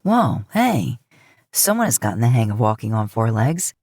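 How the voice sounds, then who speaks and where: Woman acting as a grown man, deep and gruff, fond, teasing, casual, audiobook character, dry sound. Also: very animated, moderate pace, darkish tone, no echo, heavily acted. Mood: pleased. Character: easygoing adult man, affectionate teasing older brother.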